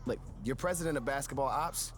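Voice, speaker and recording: A man playing a fast-talking sports agent. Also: medium pitch, soft background music, lightly acted. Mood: persuasive. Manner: persuasive, casual, quick.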